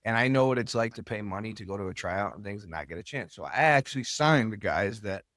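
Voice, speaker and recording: A man's voice: lowish voice, lively intonation, fast talker, a bit bright, no room sound, studio quality. An American man talking candidly and colloquially as a podcast guest, telling stories casually and swearing now and then. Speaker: podcast guest, soccer club owner and coach. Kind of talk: casual storytelling in an interview.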